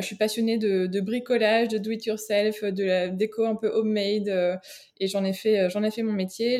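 A woman from France with a French accent, an interior designer speaking as a guest, talking haltingly with many fillers and restarts. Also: mid-range voice, warm tone. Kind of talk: casual interview.

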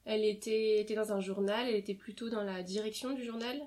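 A woman's voice: mid-range voice, fairly even intonation, quick pace, darkish tone. Hesitant young French woman recalling family. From France. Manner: hesitant, many fillers and restarts. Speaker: interviewee. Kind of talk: casual interview conversation.

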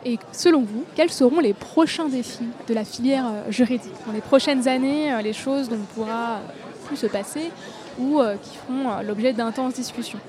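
A woman's voice: a little high, moderate pace, darkish tone. A woman interviewing a guest at a busy trade fair, asking long winding questions with many hesitations. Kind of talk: event interview. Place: busy trade fair, outdoors or large hall. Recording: crowd noise behind. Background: crowd chatter.

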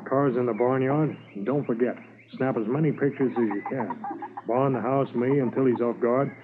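An actor playing a brisk, methodical Mountie sergeant in a 1950s radio drama, businesslike and probing. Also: male voice, mid-range voice, background noise, close to an everyday voice. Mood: firm, suspicious. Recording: muffled old 1950s radio recording.

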